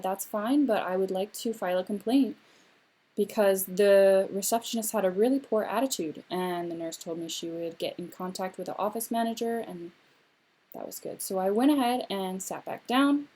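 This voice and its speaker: A young adult woman voicing a signed personal story, a mother recounting events in measured, phrase-by-phrase delivery with short pauses. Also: mid-range voice, a little mellow.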